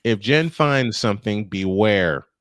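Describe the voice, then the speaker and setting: A man's voice: fairly low pitch, lively intonation, a little slow, no room sound, studio quality. Man calling a live baseball game. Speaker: play-by-play baseball commentator. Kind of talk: live sports commentary with casual banter.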